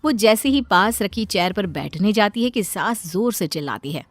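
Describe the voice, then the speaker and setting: A woman's voice: medium pitch, animated, moderate pace, no echo. A woman reading scripted narration for a family cartoon story as its storyteller. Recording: music behind the voice.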